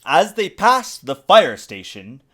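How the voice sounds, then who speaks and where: Man, children's story narration, expressive, very varied pitch, dramatic emphasis. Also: slow pace. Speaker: father reading to his young daughter. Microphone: USB desktop mic.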